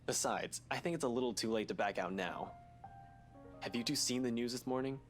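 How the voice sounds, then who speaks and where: A man's voice: medium pitch, brisk, faint music behind, close to an everyday voice. Man acting a blunt, determined detective. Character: Ash, blunt, determined detective working a case. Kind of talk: visual novel voice acting.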